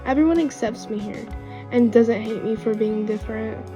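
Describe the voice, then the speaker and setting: A woman voicing an earnest, wounded runaway girl with strange powers in an amateur animated series. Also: fairly high pitch, normal speed, lightly acted. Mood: earnest, resolute. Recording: dull lo-fi mic under faint music.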